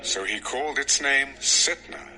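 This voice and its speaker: A man narrating a dramatized scripture recording in a measured, expressive storytelling manner. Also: mid-range voice, rough recording. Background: music.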